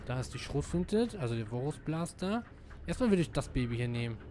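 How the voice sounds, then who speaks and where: A man giving casual live gameplay commentary, excitable and slangy, dropping in English gamer words. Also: mid-range voice, fairly quick. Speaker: let's player.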